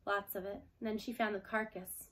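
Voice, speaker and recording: A woman's voice: medium pitch, level tone, brisk. A woman reading a children's novel aloud as scripted storybook narration. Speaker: storybook reader.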